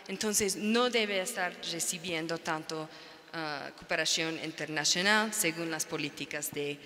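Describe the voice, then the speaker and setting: A woman whose first language is English, speaking as a panelist in an academic talk, halting and searching for words. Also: low-pitched, bright, forceful tone. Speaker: panelist, NGO researcher. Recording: reverberant lecture hall.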